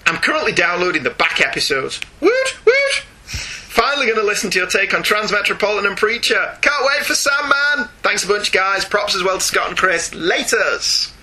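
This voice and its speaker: Bright, forceful man, slightly husky. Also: high voice, big pitch swings, normal speed. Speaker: podcast host. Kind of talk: casual chat and reading listener emails aloud.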